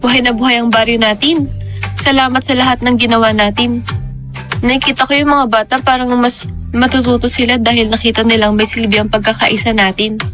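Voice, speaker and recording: A woman's voice: a little high, steady tone, a little fast, fairly bright tone, lightly acted. A woman playing a hopeful, steadfast village woman in a radio drama. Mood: grateful. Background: music.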